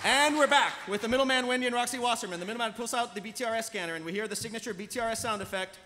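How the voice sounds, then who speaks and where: In a noisy, echoing hall, a man reads scripted stage directions live. He is brisk and dramatic, racing along in a high voice. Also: over a noisy crowd. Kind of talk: scripted narration, live table read. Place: convention panel stage. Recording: echoey convention hall, audience noise.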